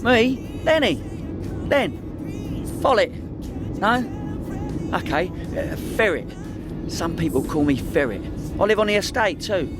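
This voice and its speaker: A man's voice: high-pitched, big pitch swings, slow pace, pauses often, music in the background, exaggerated voice. A man acting a cheeky, rambling small-time crook and cab driver with a London accent in a comedy radio play. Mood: chatty, bragging.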